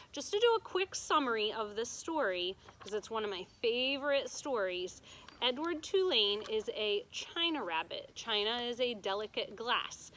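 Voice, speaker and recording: A woman's voice: fairly high pitch, pushed and bright, a little hiss or hum, low-quality recording. A woman teacher reading a story aloud to students, explaining it simply and checking in with them as she goes.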